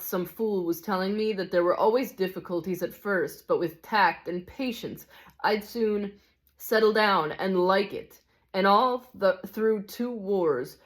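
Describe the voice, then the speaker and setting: A man's voice: high voice, fairly bright tone. A woman reading the part of a cynical, hard-bitten male ghost in a storybook, grumbling scornfully and insistently. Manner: scornful, insistent complaining with rhetorical questions.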